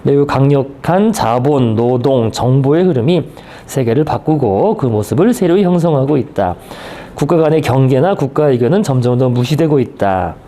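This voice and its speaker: A man's voice: mid-range voice, animated, moderate pace, dark tone. A man leading a reading group, reading aloud from a book and discussing it, often checking in with his listeners. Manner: checks in with listeners using short tag questions.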